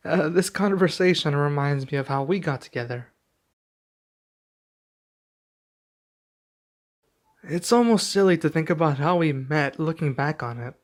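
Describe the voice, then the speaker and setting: A man playing a young king and doting expectant father in an intimate ASMR roleplay, speaking softly and affectionately with some hesitation. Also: a little high, normal speed, natural voice. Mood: reflective, tender. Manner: soft, affectionate, hesitant delivery.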